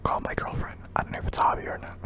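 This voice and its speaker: A man's voice: rapid-fire, bright, forceful tone. A young man from Indonesia, whispering hesitantly with many ums while answering viewer questions in an ASMR video. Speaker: ASMR channel host.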